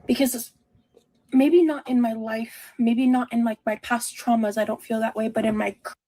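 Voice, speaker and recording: A woman giving a personal, confessional vlog monologue in a halting, self-reflective way. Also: fairly high pitch, fairly even intonation, warm tone.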